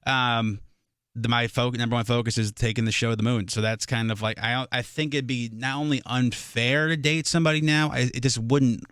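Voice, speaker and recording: Fast-talking male radio host, dry studio sound. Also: medium pitch, fairly even intonation, fairly bright tone, clean tone, dry recording. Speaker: morning radio show host. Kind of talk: casual radio chat. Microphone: close studio mic.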